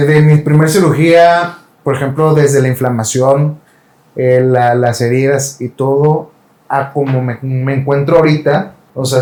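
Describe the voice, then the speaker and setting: A man of middle pitch answers as a patient, speaking hesitantly and casually. His voice carries the echo of a reverberant room. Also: a little mellow. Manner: hesitant, short affirmations.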